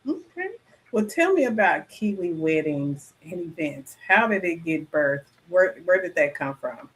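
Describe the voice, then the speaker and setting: A woman's voice: on the low side, animated, measured pace, poor audio. A woman hosting a talk show, asking her guest encouraging questions. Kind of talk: interview hosting.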